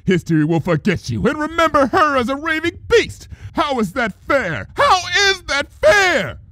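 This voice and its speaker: A man's voice: extremely high pitch, wildly swooping pitch, normal speed, pushed and bright, no echo, clean studio sound, pitched above the performer's own voice, full character voice. A man voicing a wrathful, wounded female alicorn pony princess in a dramatic story reading, with a grand, accusing delivery. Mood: screaming rage. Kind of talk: dramatic fan fiction reading.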